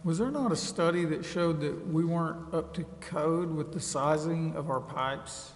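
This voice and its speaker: A man's voice: on the high side, measured pace. A man on a city council asking questions casually, with hesitant phrasing. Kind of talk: casual questioning in a public meeting. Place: large indoor council chamber. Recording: echoey hall, faint background noise.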